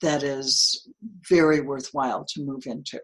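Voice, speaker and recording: An older woman with a low, earthy voice, talking as a guest in an interview, reflective and given to small laughs and restarts. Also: flat delivery, a little slow, poor audio. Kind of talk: casual interview conversation.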